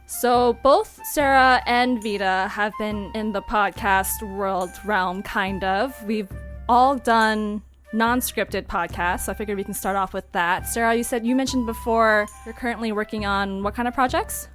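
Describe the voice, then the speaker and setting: A woman's voice: medium pitch, measured pace, a bit bright, faint music behind. A woman hosting a podcast in a casual, conversational style. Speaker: podcast host. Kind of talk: casual chat.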